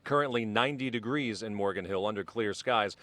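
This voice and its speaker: A male weatherman with a lowish voice, talking through conditions in a chatty, upbeat way. The studio sound is dry and close, with no room echo. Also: a little fast, fairly bright tone. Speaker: meteorologist. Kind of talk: weather report.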